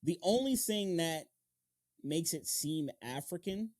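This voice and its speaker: A man's voice: on the high side, expressive, slow pace, darkish tone, dry recording, clean studio sound. A man hosting a podcast, chatting casually and slangily with plenty of loose swearing. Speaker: podcast host. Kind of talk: casual, animated podcast chat.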